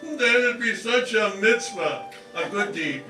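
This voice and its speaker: A man's voice: high voice, expressive, slow pace, full character voice. A man playing a stammering, humble cart seller. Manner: hesitant, stammering, kindly. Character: humble, friendly medieval lunch-cart seller, adult man. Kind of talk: live amateur stage radio play. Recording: roomy hall from far off, with music.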